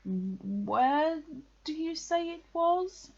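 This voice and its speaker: A woman's voice: high voice, lively intonation, extremely slow, muffled recording, voice pushed up, full character voice. A woman voicing a friendly, eager, slightly simple male toy bear from a children's storybook. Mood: puzzled. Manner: cheerful, eager, gently puzzled. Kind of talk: children's storybook character reading.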